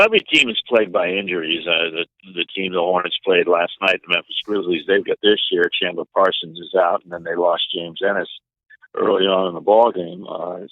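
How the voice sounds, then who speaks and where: A man giving sports analysis as an interview guest, explaining things in a measured, halting way. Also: low-pitched, no room sound. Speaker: radio play-by-play announcer, interview guest. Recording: narrow, tinny phone-like line.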